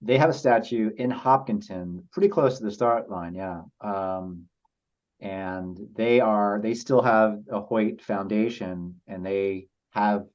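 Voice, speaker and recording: A man speaking as a podcast guest, telling personal stories in a halting, reflective and earnest way. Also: lowish voice, darkish tone. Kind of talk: casual interview storytelling. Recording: slightly dull lo-fi audio.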